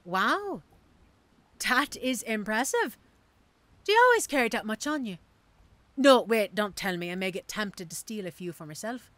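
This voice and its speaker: A woman's voice: medium pitch, animated, moderate pace, long pauses, a bit bright, dry recording, close to an everyday voice. A woman playing a cocky, street-hardened female mercenary assassin in an audio roleplay, with a teasing, sardonic, theatrical manner. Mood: amused, bargaining. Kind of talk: audio roleplay drama acting.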